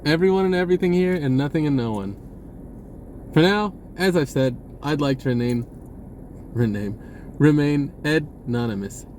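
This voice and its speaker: A man talking casually and off the cuff to viewers, halting and often repeating his words. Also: on the high side, a little mellow, a little hiss or hum. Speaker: spiritual video blogger. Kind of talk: casual unscripted monologue to viewers.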